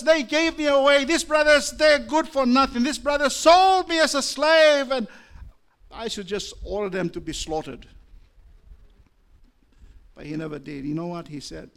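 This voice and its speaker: A man with an Australian accent preaching a sermon as a pastor, moving from low, measured talk to loud, impassioned preaching. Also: clear, resonant tone.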